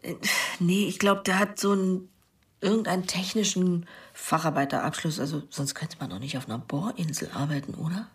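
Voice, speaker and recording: An actress playing a middle-aged sister in a naturalistic radio crime drama, giving a plain, hesitant, colloquial account. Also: female voice, lowish voice, brisk, close to an everyday voice. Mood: slightly defensive. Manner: hesitant, matter-of-fact, colloquial.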